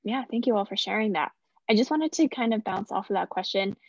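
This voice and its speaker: A young woman asks questions casually as an interviewer. Her medium-pitched voice comes dry and slightly dull over a video call. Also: lively intonation, brisk, slightly rough, no echo. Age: young adult. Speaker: interviewer, editor. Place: indoor room on a video call.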